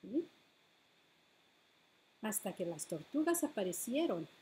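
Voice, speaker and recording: A middle-aged woman hosting a bilingual storytime as a children's librarian, reading picture books aloud with deliberate phrasing for small children. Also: mid-range voice, very animated, mellow, a little hiss or hum. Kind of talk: bilingual picture-book read-aloud for preschoolers. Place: living room at home, indoors.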